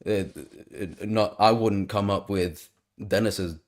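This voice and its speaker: Man, very low voice, rambling, casual, lots of fillers. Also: steady tone, slow. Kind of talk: casual chat. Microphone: video call.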